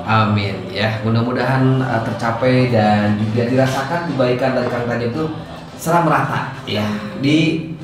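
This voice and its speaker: A man's voice: medium pitch, normal speed. A man hosting a talk show, interviewing casually and agreeably echoing phrases. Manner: agreeable, echoes the guest's phrases. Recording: very echoey room with music. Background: music.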